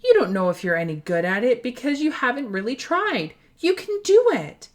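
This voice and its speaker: Woman putting on a high, emphatic girl hero. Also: sing-song, normal speed. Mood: encouraging. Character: brave young girl owl-costumed hero, urgent and encouraging. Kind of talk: children's storybook character reading.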